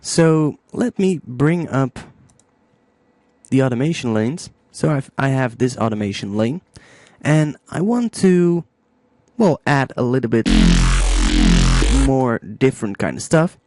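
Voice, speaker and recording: A man hosting a casual step-by-step music software tutorial, thinking aloud informally as he demonstrates. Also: medium pitch, lively intonation, slow pace, pauses often, slight background noise. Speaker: music production tutorial host.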